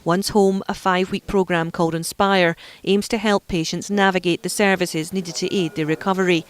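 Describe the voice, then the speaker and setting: A woman reporter reading scripted news narration in an even, measured way. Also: on the low side, clear, resonant tone, no room sound.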